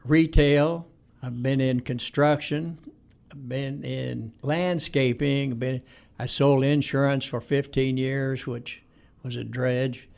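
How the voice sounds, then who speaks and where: Elderly male interview guest, 80s, from Indiana. Gravelly, even-pitched delivery, plain-spoken reminiscing. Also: slow pace. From Indiana, United States. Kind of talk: conversational reminiscing interview answers.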